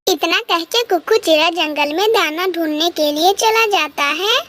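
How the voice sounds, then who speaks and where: A woman tells a scripted children's story as narrator. Her voice is very high and bright, in a cartoon storytelling style. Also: moderate pace.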